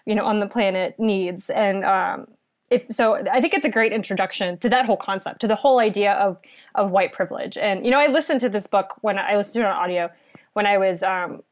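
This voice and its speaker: A woman's voice: medium pitch, a little fast. A young woman from southeastern Virginia recommending a book in a casual chat, earnest and thoughtful, rambling a little.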